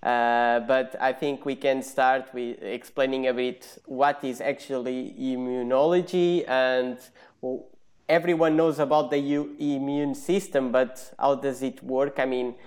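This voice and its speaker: A man's voice: medium pitch, fairly even intonation, a little slow. A man hosting a casual interview, asking rambling, playful questions. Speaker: host.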